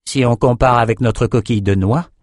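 A man's voice: medium pitch, fairly even intonation, brisk, a little mellow, no echo, clean studio sound, lightly acted. A man voicing a blunt, combative warrior woman in a fantasy audiobook. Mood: dry approval.

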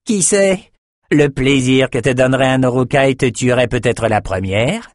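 A man's voice: medium pitch, moderate pace, no room sound, studio quality, voice pushed up, lightly acted. A man doing a jeering, crude raider. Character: crude, mocking male raider oarsman.

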